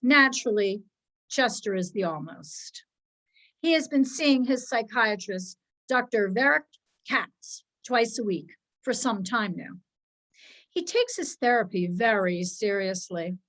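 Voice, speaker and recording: A woman reading a children's story aloud as its narrator, expressively and with measured, dramatic pauses. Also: fairly high pitch, animated, fairly bright tone. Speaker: storybook reader, narrating as a dog.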